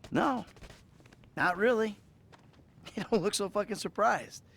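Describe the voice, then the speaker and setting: An actor playing a troubled, foul-mouthed middle-aged American criminal in a video game cutscene, halting and wry. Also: male voice, high-pitched, gravelly, fairly bright tone, breathy edge, full character voice. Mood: evasive, wry.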